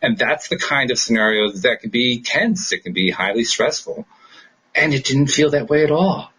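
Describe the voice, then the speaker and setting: A man with a strongly husky, rough-edged voice at an ordinary male pitch hosts a casual podcast. He talks off the cuff in an enthusiastic, excitable way, and tends to hurry when he gets going. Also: moderate pace, rough recording. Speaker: podcast host. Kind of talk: casual unscripted podcast chat.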